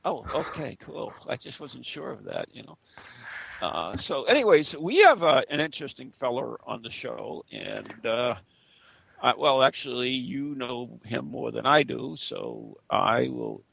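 A man's voice: mid-range voice, big pitch swings, measured pace, vocal fry, fairly bright tone, husky, poor audio. A man hosting a radio show, chatting playfully and rambling with fillers. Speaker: host. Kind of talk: jokey radio show chat.

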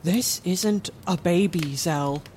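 A woman's voice: fairly low pitch, takes it slowly, a little mellow, a little hiss or hum. A woman voicing a courteous, cautious male doctor in a fantasy audio drama, with a polite, dryly hesitant delivery. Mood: earnest, hesitant.

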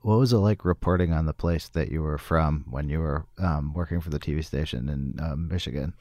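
A woman's voice: extremely low pitch, brisk, mellow, no echo, clean studio sound. A woman interviewing a guest in a casual, conversational style.

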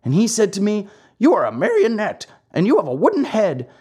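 A narrator voicing a whiny, excitable wooden puppet boy in a children's storybook, fussy and full of complaint. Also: medium pitch, fairly quick, husky, pitched above the performer's own voice. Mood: agitated, rambling. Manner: wailing, breathless childlike complaining. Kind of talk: children's storybook character acting.

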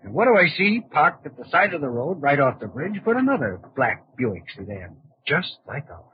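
A man's voice: a little high, big pitch swings, normal speed. An actor playing a cocky, scheming con artist and killer in an old-time radio crime drama, talking in breezy tough-guy slang. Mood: smug, scheming. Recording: muffled, noisy old radio drama recording.

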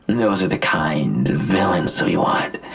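An actor playing a smug, menacing vigilante villain in an audio drama, articulate and self-righteous, with a gravelly, husky voice and a deliberate, taunting delivery. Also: male voice, deep voice, lively intonation, a bit bright, soft background music, exaggerated voice. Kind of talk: full-cast audio drama acting.

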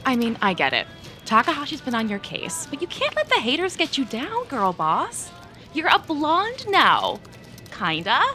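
A bright, very animated young woman in a scripted audio drama. She is a breezy, self-absorbed office gossip, gushing in slang and stretching her key words. Faint music sits behind her. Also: high-pitched, full character voice. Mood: gushing, teasing. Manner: gushing, slangy, drawn-out emphasis.

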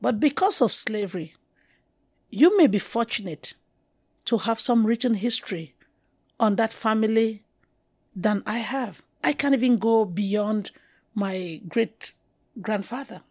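A middle-aged woman from Cameroon with a Cameroonian accent, talking thoughtfully as an interview guest, halting and restarting, slowing down to stress words. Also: mid-range voice, expressive, a little mellow, husky, no room sound, clean studio sound. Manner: reflective, halting, with restarts and slow emphatic stretches. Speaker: guest poet. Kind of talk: conversational interview.

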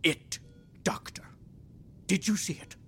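A man playing a grandiose, eccentric one-armed alien warrior patient in an audio drama, with dramatic pauses. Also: bright, forceful tone, whispered. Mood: awed, boastful. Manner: theatrical, drawn-out delivery, dramatic pauses. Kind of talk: audio fiction acting.

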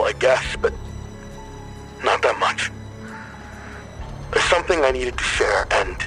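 An actor playing a despondent, broken man leaving a halting, unsure voicemail in an audio drama. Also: medium pitch, fairly bright tone, close to an everyday voice, poor audio.

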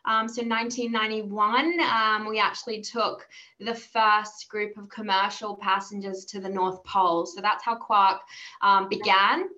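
Female podcast guest, thirties, Australian accent, bright and emphatic delivery, somewhat dull lo-fi recording. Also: mid-range voice, little pitch movement, a little slow. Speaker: interview guest, travel business development manager. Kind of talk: conversational interview.

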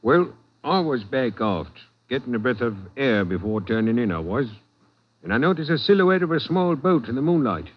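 Sailor, folksy, muffled radio. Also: male voice, medium pitch, big pitch swings, moderate pace. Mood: plain, earnest. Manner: folksy, ungrammatical storytelling. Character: plain-spoken English ship's cook, a lucky survivor. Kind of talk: 1940s radio drama acting. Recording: dull vintage radio recording.

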